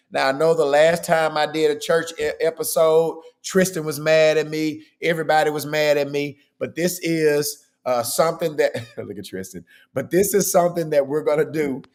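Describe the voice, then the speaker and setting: A man hosting a podcast in a casual, chatty way. His voice is hoarse and worn, and he keeps checking in with "okay?". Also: on the high side. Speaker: podcast host.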